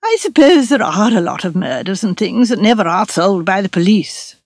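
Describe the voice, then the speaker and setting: An outspoken young woman artist voiced by a female narrator teases with brisk, mocking confidence. It is a storybook character, recorded close and dry. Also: mid-range voice, very animated, a little mellow, no echo. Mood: brisk, ironic. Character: bold, teasing, modern young woman artist.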